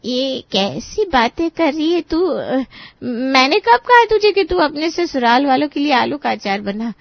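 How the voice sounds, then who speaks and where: A woman's voice: high-pitched, sing-song, measured pace, gravelly, dry recording, exaggerated voice. A woman playing a dramatic, scolding mother. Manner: theatrical, lecturing. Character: bride's middle-aged mother, dramatic and scolding. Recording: dry, slightly muffled studio voice.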